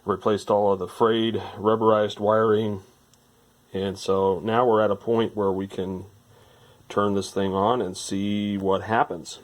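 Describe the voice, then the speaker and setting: Very low-pitched man thinking aloud while tinkering. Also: level tone, a little slow. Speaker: electronics repair hobbyist presenting a restoration. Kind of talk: informal hands-on repair narration.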